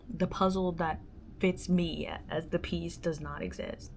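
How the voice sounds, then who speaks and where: A woman hosting her own podcast in a reflective personal monologue, musing and halting with many fillers, often dropping into a soft half-whisper. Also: fairly low pitch, slight background noise. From born in the Dominican Republic, raised in the United States. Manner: gestures with her hands while talking. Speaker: solo podcast host.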